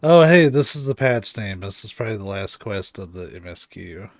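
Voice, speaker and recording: A man's voice: lowish voice, lively intonation, moderate pace, dry recording, clean studio sound. A man giving casual, chatty, wry commentary as he streams a game. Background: soft game music.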